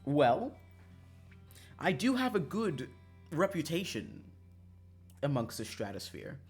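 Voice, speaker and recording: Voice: medium pitch, wildly swooping pitch, slow pace, faint music behind. A streamer chatting casually on a livestream in a joking, self-mocking, dramatic way, swearing freely.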